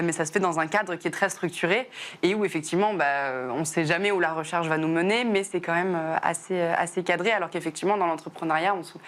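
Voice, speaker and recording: Fast-talking young French woman. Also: sits low, slight background noise. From southern France. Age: 20s. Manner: fast, frequent "euh" fillers and restarts. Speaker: chemist and startup founder. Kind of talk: interview answers.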